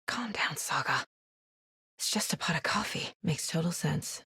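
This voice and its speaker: Guarded woman investigator in a horror game, husky, terse and earnest, super dry close sound. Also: low voice, lively intonation, moderate pace, sharp tone, dry recording, in a whisper, heavily acted. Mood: hushed, uneasy. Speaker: video game voice actress. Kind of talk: naturalistic video game dialogue.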